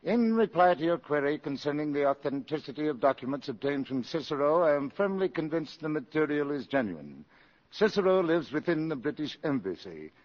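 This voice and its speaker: An actor playing a dutiful embassy aide who reads aloud formally, in a 1950s radio drama. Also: male voice, a little high, expressive, brisk. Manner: formal, reciting. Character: dutiful embassy aide reading intercepted message. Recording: dull 1950s radio broadcast.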